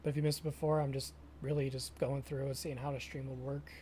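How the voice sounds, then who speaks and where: A man's voice: mid-range voice, little pitch movement, warm tone, slight background noise. Laid-back man streaming a farming game. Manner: halting, self-correcting, chatty with viewers. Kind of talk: casual live-stream commentary.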